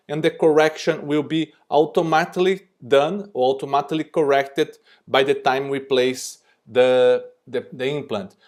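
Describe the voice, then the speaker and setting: Brazilian man giving a halting talk. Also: fairly high pitch. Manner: non-native, halting with frequent fillers. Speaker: surgeon presenting. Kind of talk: recorded conference lecture. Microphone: remote recorded presentation.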